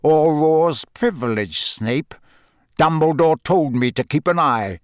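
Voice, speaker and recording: A man voicing a gruff, battle-scarred older male professor in an audiobook, growling, taunting and sardonic with quiet menace. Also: a little high, dry recording, studio quality. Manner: barking, taunting, deliberate. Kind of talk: audiobook character voice.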